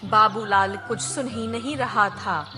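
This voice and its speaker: A woman's voice: mid-range voice, measured pace, over loud music. A woman narrating a scripted children's moral story as the storyteller.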